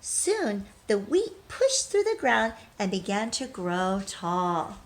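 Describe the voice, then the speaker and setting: A woman teacher reading a storybook aloud expressively, pausing to put questions to young listeners. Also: mid-range voice, big pitch swings, slight background noise.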